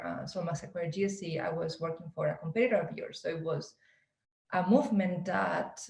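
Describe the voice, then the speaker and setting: A woman's voice: lowish voice, darkish tone, roomy sound, low-quality recording. A woman interviewing a guest in a friendly, affirming way, with frequent hesitant restarts. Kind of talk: conversational interview.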